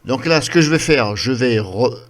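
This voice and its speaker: A man talks through a software tutorial at an ordinary middle pitch. He explains informally, circling back over his points and rambling a little. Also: lively intonation, normal speed.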